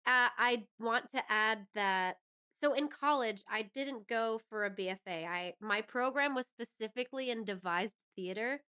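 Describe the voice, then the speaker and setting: A woman's voice: a little high, moderate pace, sharp tone, clear, resonant tone. A woman speaking as an interview guest in a casual, agreeable, chatty way. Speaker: interview guest, actress and musician. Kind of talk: casual chat.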